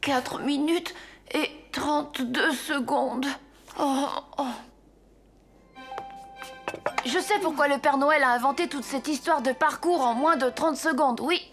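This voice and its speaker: Little cartoon boy, really high voice, headstrong and curious, music behind. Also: unhurried, a bit bright. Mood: suspicious, sulky. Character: young orphan boy, impatient, curious, headstrong apprentice Santa. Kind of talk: French children's cartoon dubbing.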